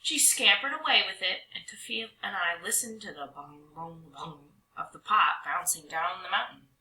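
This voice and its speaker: A woman reading a children's storybook aloud with expressive, dramatic narration, stretching some words and hushing others. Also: on the low side, normal speed. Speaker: storybook reader. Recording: roomy echo.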